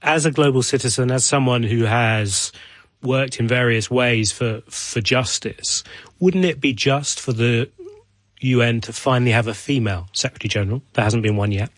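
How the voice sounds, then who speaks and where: A man's voice: medium pitch, moderate pace, dry recording. Male interviewer, close dry sound. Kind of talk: formal interview questioning.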